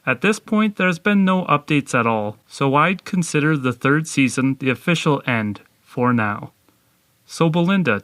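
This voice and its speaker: A man co-hosting a podcast, delivering a scripted review in a measured presenting style. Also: a little high, a bit bright, no echo.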